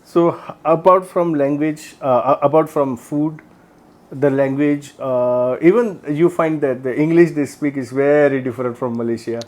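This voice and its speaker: A man's voice: on the high side, normal speed, a little mellow. A man in a casual chat, telling an anecdote in loose, informal grammar and nodding along with short murmurs. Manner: chatty, loose grammar, frequent "mm" backchannels.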